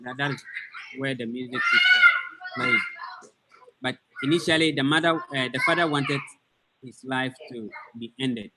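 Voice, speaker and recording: A man giving an informal presentation over a video call, speaking haltingly and searching for words. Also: fairly high pitch, wildly swooping pitch, very noisy. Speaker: guest presenter.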